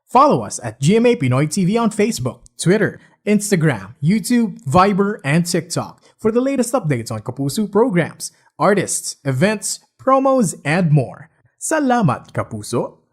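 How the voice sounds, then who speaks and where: Filipino guy doing station promo, highish voice, super lively intonation. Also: measured pace, husky. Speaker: promo announcer. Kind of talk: scripted broadcast promo.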